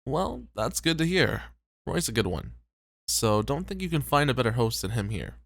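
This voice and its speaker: A man playing a bashful, modest club host in an ASMR roleplay, speaking in a hesitant, low-key, casual way. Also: big pitch swings, normal speed, vocal fry, a bit bright, no room sound, clean studio sound, full character voice. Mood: dry, easygoing.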